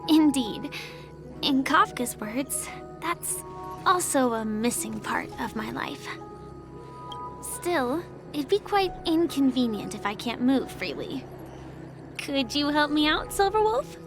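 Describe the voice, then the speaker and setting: Earnest young game heroine: high, soft, polite, quietly resolute, music behind. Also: female voice, very animated, slow pace, full character voice. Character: gentle, earnest young woman, quietly determined dreamer. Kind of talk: video game voice acting. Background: background music.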